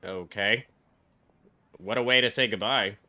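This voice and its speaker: A man giving casual live reactions as a game streamer, excitable and given to swearing. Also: fairly low pitch, measured pace.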